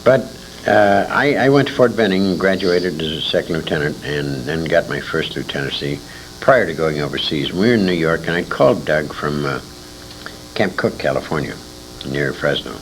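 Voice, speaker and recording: An American man in his sixties reminiscing as an interview guest, telling anecdotes in an animated way with emphatic repetition. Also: extremely low pitch, moderate pace. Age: 60s. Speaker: interview guest, old-time radio veteran. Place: radio convention, live interview. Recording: noisy amateur convention interview tape.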